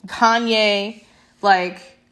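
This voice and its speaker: A woman's voice: mid-range voice, steady tone, drawn-out words, fairly bright tone. A young woman talking straight to camera in a passionate, emphatic monologue that rambles with restarts. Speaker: vlogger.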